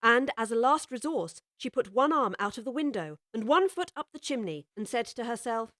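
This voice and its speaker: A woman narrating a classic children's story in a measured, gently expressive way. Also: fairly high pitch, no echo, studio quality.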